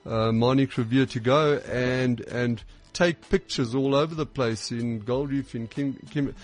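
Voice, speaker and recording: A man, a festival organiser interviewed on radio, halting with many restarts. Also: mid-range voice, fairly even intonation, soft background music. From South Africa.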